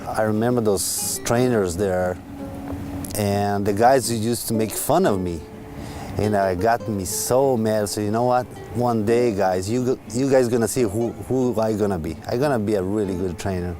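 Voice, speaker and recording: A man's voice: lowish voice, normal speed. A man from Brazil with a Brazilian accent and non-native English grammar, telling his own story casually as a horse trainer. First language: Portuguese. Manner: non-native grammar, animated quoting of others. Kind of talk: casual personal storytelling in a documentary interview. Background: music.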